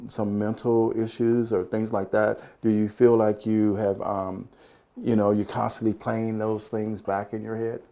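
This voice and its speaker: A man's voice: on the low side, little pitch movement, unhurried, gravelly, mellow. A man hosting a podcast interview, asking questions and encouraging his guest with frequent affirming murmurs. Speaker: podcast host.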